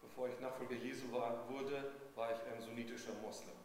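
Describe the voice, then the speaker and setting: A man's voice: mid-range voice, flat delivery, brisk, darkish tone. A man interpreting a sermon live, phrase by phrase, as a preacher's consecutive interpreter. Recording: noisy echoey hall with long reverb.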